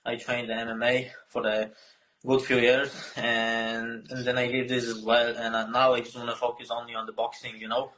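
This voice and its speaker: A man in his twenties with a Polish accent, a fighter answering interview questions in halting, broken English full of fillers and swearing. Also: mid-range voice, flat delivery, fairly bright tone, low-quality recording. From Poland. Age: 20s.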